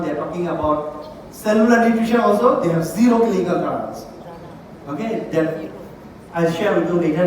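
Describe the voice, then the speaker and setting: A man from Bangladesh with a Bangladeshi accent gives an informal, emphatic promotional talk to a live audience, often checking in with "okay" and "right". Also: fairly high pitch. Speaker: doctor speaking as a product distributor. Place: large echoing room at a live event. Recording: very echoey hall, distant mic, noisy.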